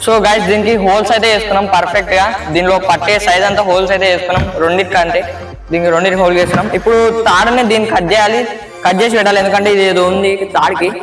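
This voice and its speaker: A man's voice: high voice, little pitch movement, racing. A man with a Telangana Telugu accent hosting a casual how-to vlog, explaining informally step by step and repeating himself. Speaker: host of a DIY experiments channel. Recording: echoey sound with music behind.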